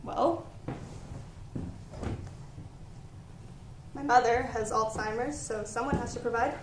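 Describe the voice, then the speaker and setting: A woman's voice: on the high side, fairly even intonation, normal speed, close to an everyday voice. A young woman acting in a stage play as a wary, reluctant waitress with a hesitant, questioning manner. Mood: uneasy. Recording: echoey room, clear background noise.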